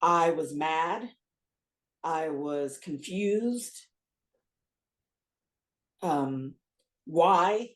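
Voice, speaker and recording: Middle-aged woman, low-pitched, hesitant, roomy webcam audio. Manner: halting, searching for words. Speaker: patient sharing her story. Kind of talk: emotional interview answers. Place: home room. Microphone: video call webcam.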